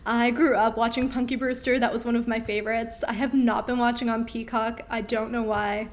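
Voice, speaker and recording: A woman in her thirties chats casually through viewer questions on a live stream, upbeat and full of ums. Her voice sits fairly high and is starting to wear out. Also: flat delivery, fairly quick. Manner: chatty, upbeat, with frequent ums. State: losing her voice.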